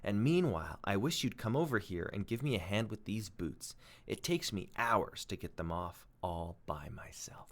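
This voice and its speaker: A man's voice: fairly low pitch, lively intonation, moderate pace, pitched below the performer's own voice. A man voicing a giant male centipede, a cheery and bossy storybook creature.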